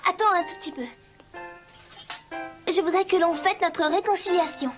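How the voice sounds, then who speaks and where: A woman playing a young, spirited princess in a children's cartoon, with an emotive, expressive delivery. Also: very high voice, normal speed, music in the background. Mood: coaxing, feigned meekness. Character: young spirited princess, defiant then coaxing. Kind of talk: dubbed children's cartoon acting.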